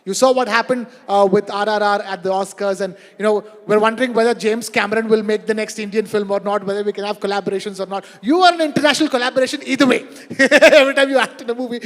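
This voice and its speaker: Fast-talking high-voiced male moderator. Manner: brisk, talkative questioner. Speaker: moderator of a summit panel. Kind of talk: moderating a panel discussion, mixing English and Hindi. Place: large event hall on stage. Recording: long reverb of a large hall.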